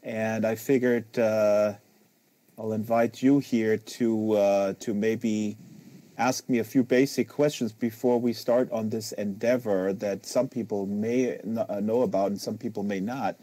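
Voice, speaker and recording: A man's voice: on the low side, fairly even intonation, measured pace, warm tone, no echo. A man hosting a casual, unscripted live conversation, talking in a rambling, emphatic way given to hyperbole. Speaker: host of a live social VR book reading.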